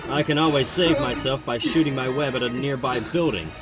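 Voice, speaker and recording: A man's voice: medium pitch, brisk, close to an everyday voice. Man acting a cocky teen superhero, roomy echo. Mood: taunting. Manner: self-assured, narrates his own thoughts. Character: cocky, earnest teenage boy superhero. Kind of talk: comic book dramatic reading. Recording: roomy echo, background music.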